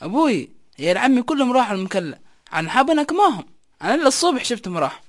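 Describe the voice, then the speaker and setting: A man's voice: very high-pitched, sing-song, rapid-fire, pitched above the performer's own voice. A man voicing a young boy, pleading with his father for a phone. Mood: whiny. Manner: whiny pleading.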